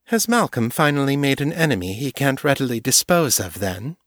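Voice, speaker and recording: A man's voice: medium pitch, big pitch swings, brisk, fairly bright tone, dry recording, voice pushed up. A man voicing a poised female vampire doctor who speaks dryly and with wry irony. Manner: dry and wry, ironic.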